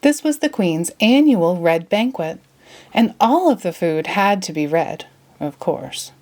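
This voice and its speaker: A woman narrating a children's story as an expressive read-aloud. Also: on the low side, measured pace.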